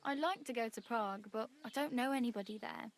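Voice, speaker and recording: A woman's voice: fairly high pitch, a little fast, lightly acted. A woman playing a friendly, plucky young wandering beggar in a medieval video game, chatty and wry. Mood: wry, hopeful. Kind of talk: video game dialogue acting.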